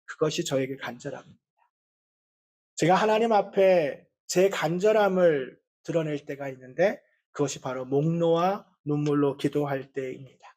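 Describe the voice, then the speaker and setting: A male pastor giving a Sunday sermon in an earnest, measured way, with a voice he calls not strong and easily strained. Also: on the high side, a little mellow. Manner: earnest, with measured pauses and frequent fillers.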